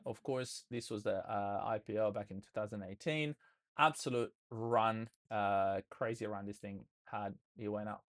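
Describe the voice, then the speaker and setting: A man with an Australian accent, hoarse and losing his voice, walking viewers through trades in a loose live session full of fillers and restarts. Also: medium pitch. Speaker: trading educator. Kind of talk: live trading session walkthrough.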